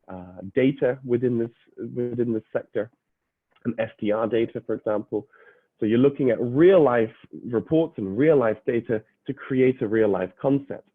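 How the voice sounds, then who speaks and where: A man's voice: mid-range voice, no room sound. A young adult man, half British, giving an explanatory online presentation to prospective students, with frequent filler uhs and restarts. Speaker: university admissions representative. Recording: dry, phone-like lo-fi audio.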